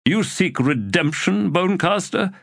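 A man's voice: sits high, animated, measured pace, vocal fry, a bit bright, no room sound, clean studio sound, pitched above the performer's own voice, full character voice. A man voicing Torrent, a weary and defiant young male warrior, in an audiobook reading.

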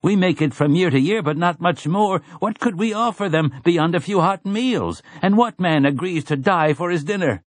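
A man's voice: high voice, expressive, normal speed, hardly any pauses, no echo, voice pushed up. A man voicing a plain-spoken, doubtful farmer in an audiobook, arguing insistently through rhetorical questions. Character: doubtful, plain-spoken male farmer pleading practical sense.

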